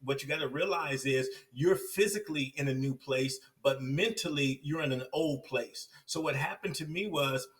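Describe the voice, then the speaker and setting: A man's voice: mid-range voice, normal speed, few pauses, distant mic. A man telling his life story as a podcast guest, acting out other people's lines as he goes. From Compton, California. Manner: animated storyteller acting out quoted voices. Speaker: podcast guest, success coach.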